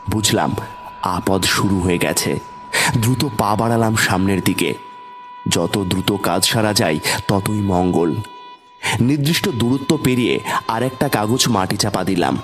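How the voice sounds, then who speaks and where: A man narrating a scripted first-person horror story in a dramatic, suspenseful way. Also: on the low side. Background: background music.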